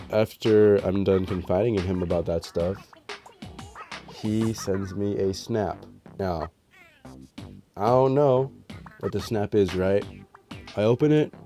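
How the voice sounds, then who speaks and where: A man's voice: fairly low pitch, mellow. A young man telling rambling, profane storytime anecdotes in a casual vlog, sounding nasal because he is sick. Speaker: storytime vlogger. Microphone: dedicated voice mic.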